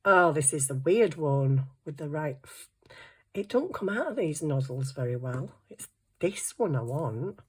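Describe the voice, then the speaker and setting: A woman with a hoarse, croaky voice, chatting casually and thinking aloud through a crafting tutorial. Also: sits low, animated, unhurried. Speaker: craft vlogger.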